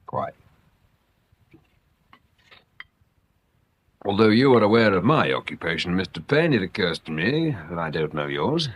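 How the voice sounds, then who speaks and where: An actor playing a dry, courteous, quietly sceptical English police inspector in a radio drama, polite and understated. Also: male voice, low voice, sing-song, fairly quick, slight background noise. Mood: dryly polite.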